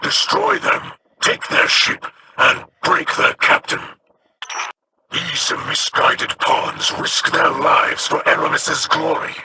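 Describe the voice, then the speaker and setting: Gravelly alien warrior captain, old and stern, bit high-pitched, noisy game audio. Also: male voice, wildly swooping pitch, slow, full character voice. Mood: commanding. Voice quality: gravelly, formal. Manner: solemn and commanding. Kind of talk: video game voice lines.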